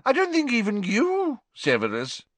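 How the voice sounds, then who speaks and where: A man voicing a tipsy, jovial older male professor who gushes and booms his words. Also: huge pitch swings, normal speed, no room sound, exaggerated voice. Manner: hearty, gushing, loud exclamations.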